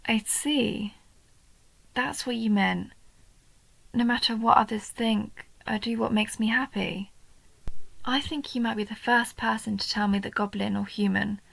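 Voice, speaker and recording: A woman voicing a shy, sweet, nerdy goblin girl in a soft roleplay audio, hesitant and gentle, often dropping into breathy half-whispers. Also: a little high, steady tone, unhurried, dry recording, exaggerated voice, poor audio. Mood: wistful, touched. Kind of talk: roleplay audio, soft intimate story acting.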